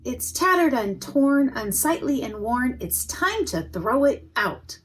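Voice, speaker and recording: A woman's voice: sits high, big pitch swings, slow pace, voice pushed up, full character voice, rough recording. A woman voicing a storybook mother who firmly nags her young son in emphatic, singsong rhymes. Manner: singsong, emphatic rhyming scolding.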